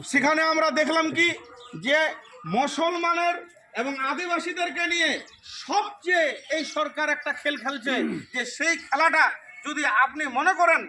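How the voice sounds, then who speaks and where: A man with an extremely high, piercingly bright voice delivers a political harangue. He is emphatic and argumentative, constantly throwing out rhetorical questions. He is picked up from a distance with faint noise around him. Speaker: local political party activist. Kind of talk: impassioned political talk.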